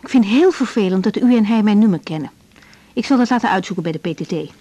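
A woman's voice: medium pitch, expressive, fast talker, mellow, close to an everyday voice. An actress playing a young woman doctor in a radio drama, direct and caring with a firm temper. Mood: annoyed, firm. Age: young adult. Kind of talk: naturalistic Dutch radio drama acting. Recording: faint background noise.

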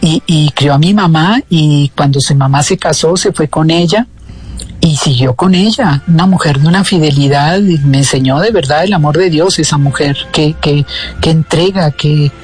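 A woman's voice: deep voice, normal speed, darkish tone, breathy edge, soft background music. A woman from Argentina with a slight Buenos Aires accent, a radio interview guest telling her story with many hesitant restarts. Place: radio studio.

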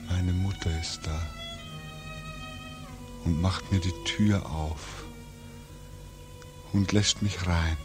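An actor playing a homesick, brooding young man back from war in a 1940s radio drama, with halting, repetitive, anguished speech. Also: deep voice, fairly even intonation. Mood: hushed, hopeful. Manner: halting, repetitive, swinging into agitated questioning. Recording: 1940s radio recording, music behind.

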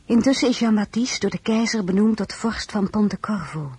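Casting: earnest young princess and soldier's wife, radio drama, mid-pitched, anxious and emotional. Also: female voice, brisk, darkish tone, breathy edge, dry recording. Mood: reflective narration. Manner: earnest, emotional, hesitant when pleading. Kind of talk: radio drama acting with first-person narration.

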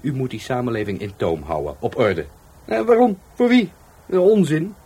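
An actor with a Netherlands Dutch accent playing a touchy, defiant law-school dropout in a radio play, by turns hesitant and scornful. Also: male voice, mid-range voice, very animated, normal speed, dark tone, breathy edge. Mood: scornful, irritated. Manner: hesitant, then scornful and argumentative. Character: defiant, touchy young male law-school dropout, murder victim's helper.

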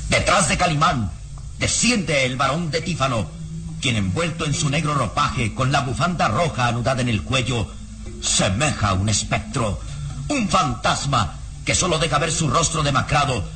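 Actor as expressive, solemn male radio-serial narrator. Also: medium pitch, normal speed, noisy background, natural voice. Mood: solemn, atmospheric. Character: solemn, descriptive storyteller of a mystery serial. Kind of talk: dramatic scripted narration, old radio serial. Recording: old radio recording with music underneath.

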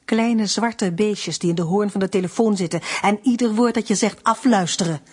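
A husky-voiced actress playing a beleaguered mother facing a state interrogator in a radio drama. She sounds restrained and weary, with a dry streak of defiance. Her pitch is ordinary for a woman. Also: normal speed, a little mellow, natural voice. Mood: guarded, wry. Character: beleaguered, wry dissident mother under interrogation. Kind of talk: Dutch radio play acting.

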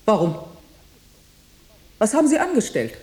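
Mellow-voiced, sceptical no-nonsense woman. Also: on the high side, huge pitch swings, a little slow, husky, full character voice. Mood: sceptical, brisk. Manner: terse, probing questions, no-nonsense. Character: sober, sceptical woman, old friend of a journalist. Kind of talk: radio play acting.

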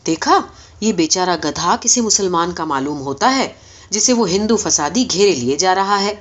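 A woman's voice: lowish voice, animated. A woman voicing a hostile male rioter in a story, accusing others in an indignant manner. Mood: indignant accusation. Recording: dull, lo-fi recording.

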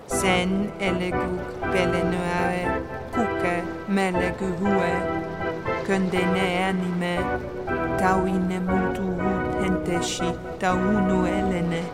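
A woman playing a detached archivist in a radio play, reciting entries and translations in a measured, word-by-word way. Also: fairly low pitch, completely flat delivery. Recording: loud music under the voice.

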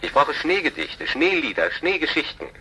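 An actor playing a harried, excitable broadcasting boss who gives urgent, insistent orders in a radio play. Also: male voice, a little high, normal speed, breathy edge, heavily acted, low-quality recording.

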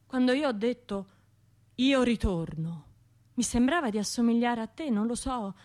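An actress with a Tuscan accent playing a wounded, self-reproaching bombing survivor in an intimate radio drama monologue, halting and colloquial. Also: female voice, fairly high pitch, animated, a little mellow, close to an everyday voice. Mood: wistful remembering. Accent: Tuscan Italian. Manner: halting, colloquial, shifting between murmurs and outbursts.

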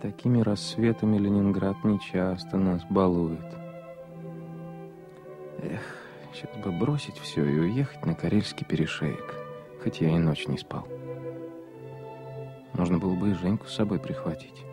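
An actor playing an ironic, teasing middle-aged bachelor doctor in a radio drama. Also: male voice, low-pitched, a little slow, long pauses. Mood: wistful. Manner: wry, teasing, warmly ironic. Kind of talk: 1980s radio drama acting. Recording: music playing behind. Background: music.

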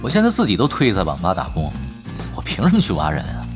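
A man's voice: low-pitched, wildly swooping pitch, quick pace. A man acting in an audio drama as a laid-back, shrewd veteran gamer and team leader, matter-of-fact and understated. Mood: low-key. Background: background music.

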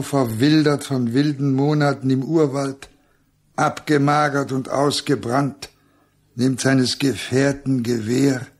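Slow, gravelly, solemn narrator. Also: male voice, mid-range voice, exaggerated voice. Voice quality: gravelly, husky. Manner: solemn, incantatory. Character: solemn narrator of a jungle tale. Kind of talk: poetic radio drama narration.

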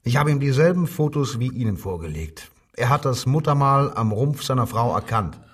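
Probing police inspector, husky mid-pitched voice, measured, coaxing, authoritative, radio drama, slightly distant from the mic. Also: male voice, lively intonation. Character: controlled, probing male Nazi-era Berlin police inspector. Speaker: actor in a radio crime drama. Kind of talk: German radio drama dialogue, interrogation.

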